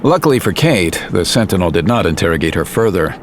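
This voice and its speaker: A man narrating a scripted audio drama in a serious, measured storytelling manner. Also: sits low, big pitch swings, slight background noise.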